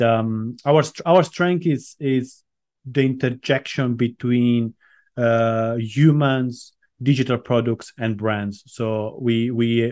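A man's voice: medium pitch, darkish tone. A man who grew up in Italy, a guest on a podcast interview, talking loosely and conversationally, often restarting phrases as he thinks, with a dry self-deprecating humor. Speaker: podcast guest, branding strategist. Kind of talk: casual interview answers.

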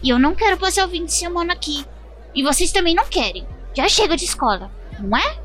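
A woman's voice: very high voice, animated, quick pace, a bit bright. A woman playing a worried, rule-minded schoolkid in a tabletop game, pleading with the others. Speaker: player. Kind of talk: in-character roleplay as an anxious schoolkid. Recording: music under the voice.

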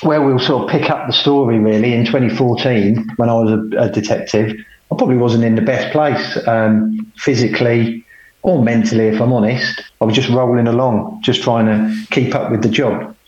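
A middle-aged man, speaking at an unhurried pace with a plain, self-deprecating manner. He is calling in from home, so the voice comes through a roomy space. The call audio is dull and muffled. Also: mid-range voice, fairly even intonation, darkish tone. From England. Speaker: podcast guest. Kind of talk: casual conversation, telling his life story. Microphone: remote call.

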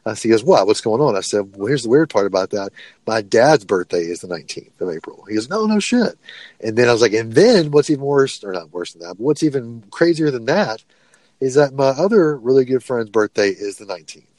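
A man's voice: mid-range voice, very animated, fairly quick, a little mellow, no room sound. A man of about forty co-hosting a casual podcast, bantering in a brash, jokey way with plenty of swearing. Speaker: podcast co-host.

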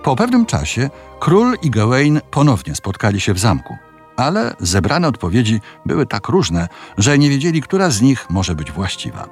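A male narrator tells a fairy tale with very varied intonation and a medium pitch. His delivery is measured and dramatic, with soft music behind the voice. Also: wildly swooping pitch. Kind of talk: scripted fairy-tale storytelling.

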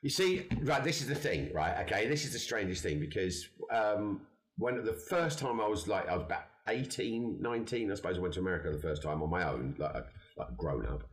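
A man's voice: deep voice, expressive, a little fast. A man with an English accent chatting casually with friends, laughing often as he talks. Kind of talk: casual banter.

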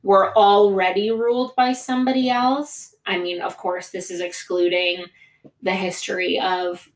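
A woman co-hosting a podcast, raised in the United States with Greek as her first language. She talks at an unhurried pace in loose, rambling chat, circling back with fillers and restarts while her voice sits at an ordinary middle pitch. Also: little pitch movement. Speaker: podcast co-host. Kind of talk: casual chat.

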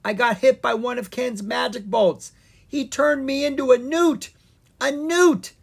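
A reader doing a squeaky young dragon boy. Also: fairly even intonation, a little slow, creaky, slightly rough, heavily acted.

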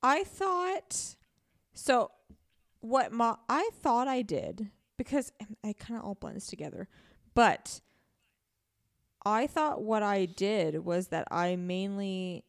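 A woman's voice: mid-range voice, expressive, slow pace, dry recording, studio quality. A woman podcast co-host chatting casually and thinking aloud. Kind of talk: casual chat.

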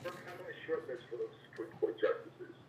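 A man's voice: fairly high pitch, animated, fairly quick. A man calling in to chat about sports over the phone, speaking bluntly and emphatically with casual swearing. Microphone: phone call. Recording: roomy phone call with faint noise.

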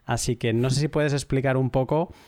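A man hosting a podcast, introducing the episode and then interviewing a guest in an unhurried, measured way with some hesitant restarts. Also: medium pitch, fairly even intonation, darkish tone, no echo. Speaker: podcast host.

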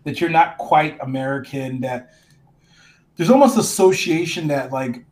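An animated male professor on a podcast, talking with a fairly high voice. He walks through ideas the way he would in a classroom, posing questions back to the other speaker and sprinkling in casual slang. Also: a little slow. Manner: animated, explains ideas like a teacher, says "dude" and "man". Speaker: college professor.

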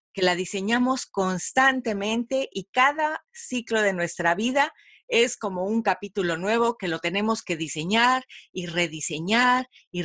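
A woman hosting an online radio interview, speaking in a measured, formal way and asking long, winding questions. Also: medium pitch, a bit bright. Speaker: host and interviewer.

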